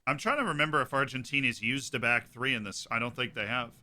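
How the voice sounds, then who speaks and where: Bright, sharp-toned young man. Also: medium pitch, fast. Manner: chatty, jokey, repeats words when thinking. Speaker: streamer host of a football watchalong. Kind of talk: casual chat reacting to a live match.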